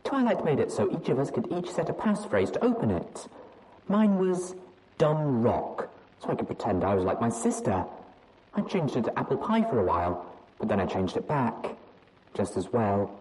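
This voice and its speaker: A woman's voice: rumbling low, big pitch swings, moderate pace, a little mellow, poor audio. A woman reading aloud as an anxious young adult mare pony who rambles hesitantly and doubts herself. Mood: confiding. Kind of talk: audiobook reading of fan fiction.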